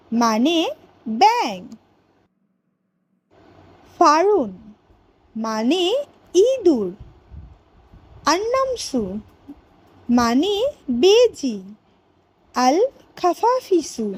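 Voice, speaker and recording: A woman voicing a cartoon schoolteacher who teaches vocabulary to young pupils in a deliberate, word-by-word way. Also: high voice, no echo, heavily acted. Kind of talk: educational cartoon vocabulary lesson.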